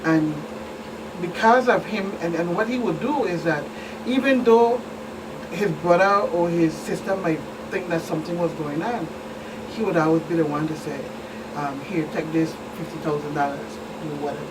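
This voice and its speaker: A woman recounting cases conversationally as a guest expert, in a halting, deliberate way. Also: lowish voice. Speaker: police commander, guest expert. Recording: distant mic, clear background noise.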